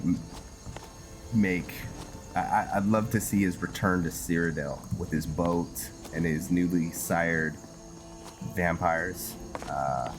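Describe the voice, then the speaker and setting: Slow, very deep-voiced man commentating on a game. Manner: musing, halting, talks to himself. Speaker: gameplay host narrating a roleplay playthrough. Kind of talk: casual game commentary, thinking aloud.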